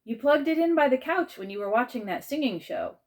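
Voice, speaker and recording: A woman reading a children's story, voicing a caring aunt who gently prods a child. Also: high voice, big pitch swings, measured pace, voice pushed up, exaggerated voice. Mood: gently prodding. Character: gentle, prodding aunt looking after a child.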